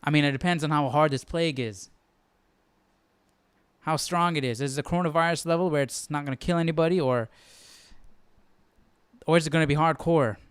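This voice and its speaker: A man's voice: on the high side, a little fast, pauses often, smooth, dry recording. A man hosting a Bible study livestream, talking casually and thinking aloud in questions. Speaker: host of a live Bible study.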